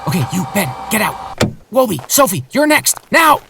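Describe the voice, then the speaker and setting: A man playing an anxious, caring, gently chiding father in a children's audio drama. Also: high voice, very animated, normal speed, gravelly, background noise, full character voice. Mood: alarmed.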